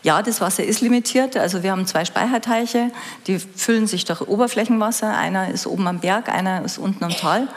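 A woman's voice: mid-range voice, a little fast. A woman answering questions on a public panel, hesitant, with many restarts and fillers. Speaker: ski resort representative on a panel. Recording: hall reverb.

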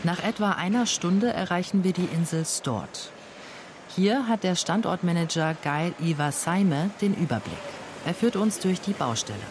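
Calm male documentary narrator. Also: sits high, noisy background. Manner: calm, measured and factual.

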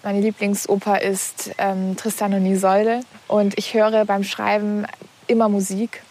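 A woman in her twenties from Berlin, an author speaking in a measured way. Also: medium pitch, little pitch movement, dark tone. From Berlin, Germany. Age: 20s.

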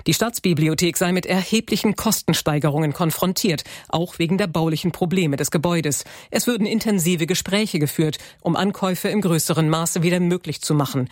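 A man with a fairly high voice reads a scripted news report in a clear, formal way. The sound is dry and close. His reading stays steady throughout. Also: dry recording.

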